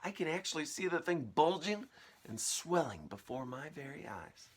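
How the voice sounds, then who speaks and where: A man voicing an excitable, bossy aunt from a children's storybook, exclaiming in short, agitated bursts. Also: fairly high pitch, normal speed, husky, pitched above the performer's own voice. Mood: awestruck.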